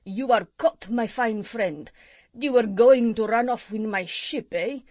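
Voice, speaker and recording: A woman voicing a boastful male pirate captain who shouts and gloats, raised and loud. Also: a little high, a little slow, no echo. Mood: gloating.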